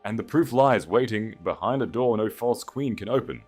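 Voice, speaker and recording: A man voicing a resolute young princess with measured, regal authority in an audiobook. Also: fairly low pitch, expressive, a little mellow, faint music behind, pitched above the performer's own voice. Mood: commanding. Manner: declarative, commanding, measured.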